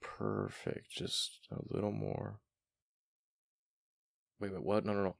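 Casting: male actor as affectionate, flustered gamer boyfriend for ASMR roleplay, very creaky low voice, dry close sound. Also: very animated, dry recording, heavily acted. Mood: panicked pleading. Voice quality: deep, gravelly. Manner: halting, stammering, murmured.

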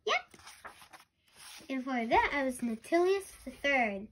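A woman's voice: high-pitched, very animated, measured pace, background noise. A woman voicing a cheerful, carefree little narwhal with a breezy childlike manner in a picture-book read-aloud.

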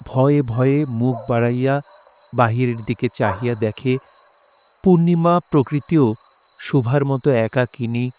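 A man narrating literary prose for an audio drama in a solemn, measured, dramatic way. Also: mid-range voice, noisy background.

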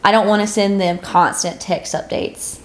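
A woman in her twenties talks as a podcast co-host in an easy, casual register. She is effusive and quick to poke fun at herself, with "like" peppered through her sentences. Her pitch sits in the middle for a woman. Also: fairly even intonation. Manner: effusive, self-deprecating, peppers talk with "like". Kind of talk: casual chat about books. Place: living room sofa, indoors.

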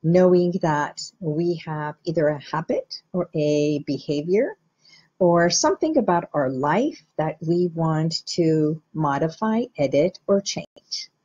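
A middle-aged woman from Iran, a hypnotherapist, explaining the mind to viewers in a slow, measured, guiding way. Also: deep voice, expressive, a little mellow, slightly rough, dull audio. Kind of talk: teaching talk to camera.

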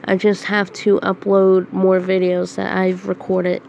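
A woman with a head cold, sounding nasal and hoarse, talking to her channel's viewers in a slow, halting casual announcement. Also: medium pitch, completely flat delivery, a little mellow, lo-fi sound, a little hiss or hum. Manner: slow, halting, with frequent throat clearing and coughs. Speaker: channel host. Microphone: phone screen recorder.

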